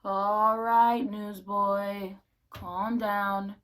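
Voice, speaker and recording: A woman's voice: mid-range voice, level tone, very slow, a bit bright, faint music behind. A woman playing an exasperated studio worker in a radio play.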